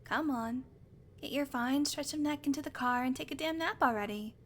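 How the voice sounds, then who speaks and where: A woman playing a sardonic, teasing, predatory vampire with a soft spot, in an intimate, sweary audio roleplay monologue that often drops to a murmur. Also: high voice, normal speed, a little hiss or hum, natural voice. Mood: teasing, exasperated.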